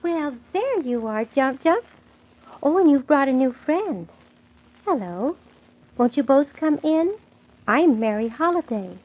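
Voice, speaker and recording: Kindly young lady hostess, high and sweet, gently indulgent; muffled 1940s children's radio. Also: sing-song, slow, pauses often, full character voice. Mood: welcoming, amused.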